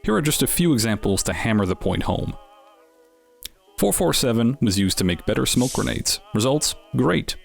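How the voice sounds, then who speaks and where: A man's voice: mid-range voice, very animated, normal speed, vocal fry, slightly rough, soft background music. A man giving scripted narration as the host of an explainer series, with wry, dryly humorous asides.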